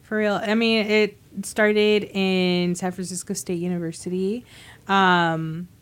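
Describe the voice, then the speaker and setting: A woman's voice: mid-range voice, flat delivery, slow. A young woman, sick at the moment, chatting on a podcast and often searching for her words. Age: young adult. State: sick, under the weather. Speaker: podcast co-host. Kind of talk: casual chat.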